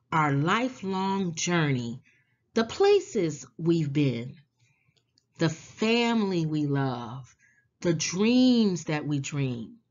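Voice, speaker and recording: A woman reads a picture book aloud for young children at a very slow pace. Her fairly low voice swings expressively, with drawn-out words and deliberate pauses. The recording sounds slightly dull.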